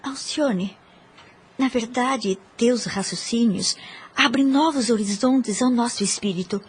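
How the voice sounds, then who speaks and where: Actress doing high voiced humble lady in a radio play, guilty, emotional, formal. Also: slow, slightly rough, heavily acted. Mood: moved, troubled. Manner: formal, confessional. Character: humble, guilt-ridden, emotional religious woman. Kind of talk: audio drama acting.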